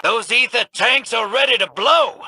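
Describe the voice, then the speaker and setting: An actor playing a cocky, roguish smuggler who talks in a slangy drawl in a video game. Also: male voice, very high voice, normal speed, pushed and bright, slight background noise, heavily acted. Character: scrappy roguish male smuggler, cocky and wry.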